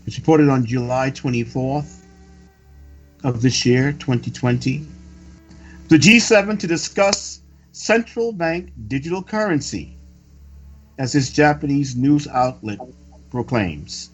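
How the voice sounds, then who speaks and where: A man reading a scripted news report with preacherly commentary, in a deliberate, emphatic and solemn way. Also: mid-range voice. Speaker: religious report reader and commentator. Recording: dull lo-fi audio with music. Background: background music.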